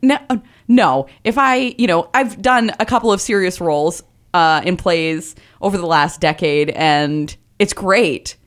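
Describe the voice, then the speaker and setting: A woman in her thirties with a lower voice, chatting as an interview guest in a loose, self-deprecating way with many fillers. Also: unhurried. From Vermont Northeast Kingdom. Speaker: guest comedian. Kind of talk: casual interview conversation.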